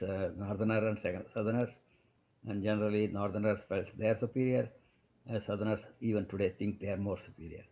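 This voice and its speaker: An older man from India with an Indian accent, a doctor whose first language is Kannada, telling his life story in a halting, digressive way. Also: on the low side, mellow. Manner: halting, digressive, many restarts. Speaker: medical doctor guest. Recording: dull lo-fi recording.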